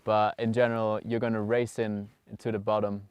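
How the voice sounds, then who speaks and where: Calm low-voiced male guest, flat delivery. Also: smooth, no echo. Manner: calm, measured, slightly nervous. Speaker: studio guest expert. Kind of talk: live studio interview.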